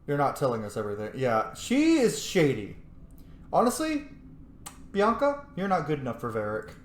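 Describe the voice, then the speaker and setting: A man's voice: on the high side, huge pitch swings, moderate pace. A man giving casual, opinionated commentary as he plays a video game, reacting informally. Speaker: gamer narrating a playthrough. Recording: echoey room, faint game noise behind.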